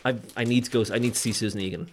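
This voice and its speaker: A man's voice: mid-range voice, fairly even intonation, racing, a little hiss or hum. A man on a podcast, telling enthusiastic anecdotes in casual chat.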